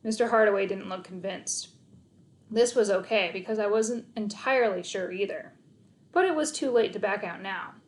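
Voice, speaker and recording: A woman narrating a children's story aloud in an expressive read-aloud style. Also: mid-range voice, normal speed.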